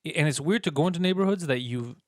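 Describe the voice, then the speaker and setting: A young comedian with a mix of Montreal and American English in his accent talks quickly in a slightly raised voice, close and dry on the mic. His manner is joking and self-mocking. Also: a little high, dry recording. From Montreal, Canada. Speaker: comedian guest. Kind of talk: casual interview chat.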